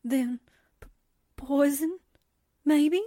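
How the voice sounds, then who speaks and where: A woman performs a naive female sidekick from a vintage radio mystery. Her high voice moves slowly and hesitantly, with wide-eyed drama. The sound is dry and close. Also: warm tone, no room sound. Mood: nervous. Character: excitable, naive, movie-struck female reporter's assistant. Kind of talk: 1940s radio drama acting.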